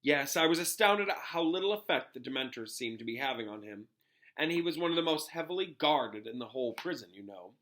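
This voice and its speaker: A self-important middle-aged minister from a storybook, read out by a man, with a bright, forceful voice. He recounts things gravely and dramatically, halting now and then for weight. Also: medium pitch, lively intonation, natural voice. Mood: grave, unnerved.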